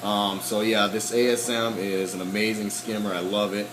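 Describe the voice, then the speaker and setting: A man walking through his aquarium equipment in a casual, halting way, with many ums and frequent throat clearing, his voice slightly hoarse. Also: lowish voice, little pitch movement, echoey room, noisy background. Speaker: aquarium hobbyist.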